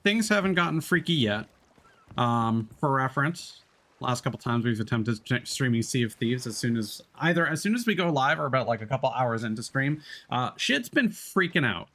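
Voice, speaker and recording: A man's voice: mid-range voice, very animated, moderate pace, a bit bright. Rambling young man chatting on stream. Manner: rambling, scatterbrained, many fillers and restarts; casual, swears freely. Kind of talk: casual live-stream chat.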